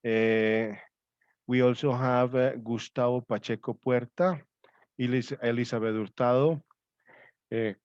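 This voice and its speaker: Male event host, unhurried and repetitive, informal, lo-fi close-sounding audio. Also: medium pitch, steady tone, no room sound. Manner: halting, repeats himself. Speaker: host of a student reading-aloud event. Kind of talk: informal online event hosting. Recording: dull, lo-fi online call audio.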